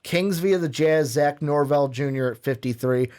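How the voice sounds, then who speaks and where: A man's voice: fairly high pitch, normal speed, a little mellow, clear, resonant tone. A man co-hosting a sports podcast, reading draft picks casually with fillers and self-corrections. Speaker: podcast co-host. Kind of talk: reading a mock draft list.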